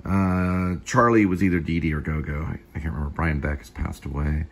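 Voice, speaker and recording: A man in his fifties with a very low, husky voice hosts a casual vlog. He rambles and digresses, halting and restarting his phrases as he goes. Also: fairly even intonation. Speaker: show host, actor and teleplay writer.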